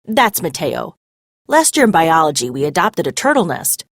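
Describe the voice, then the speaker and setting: A woman's voice: low-pitched, big pitch swings, normal speed, dry recording, studio quality, exaggerated voice. Woman as a friendly, eager teenage boy. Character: friendly, enthusiastic high school boy. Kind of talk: read-aloud storybook dialogue.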